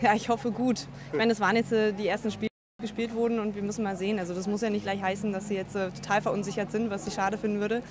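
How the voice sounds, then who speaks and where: Fast-talking young woman, noisy outdoors. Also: mid-range voice, level tone. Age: young adult. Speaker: football fan. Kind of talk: casual street interview answers. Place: outdoors on a wooded path among fans. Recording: clear outdoor background noise.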